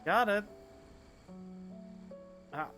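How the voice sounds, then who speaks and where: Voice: mid-range voice, animated, very slow, music in the background. A game streamer giving casual, terse asides while commenting on gameplay.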